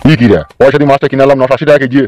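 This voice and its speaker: A man's voice: mid-range voice, little pitch movement, racing, darkish tone, faint music behind, close to an everyday voice. A man voicing a genial, fussy middle-aged village father in a comedy cartoon, chatty and insistent.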